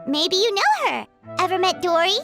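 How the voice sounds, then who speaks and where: A tiny female fairy sidekick with an extremely high, squeaky, childlike voice. Her lines bounce up and down with excitable, exclamatory energy, as in a video game. Loud tinkling music plays behind her. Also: normal speed, full character voice. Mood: surprised, curious. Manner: speaks of herself in third person, exclamatory.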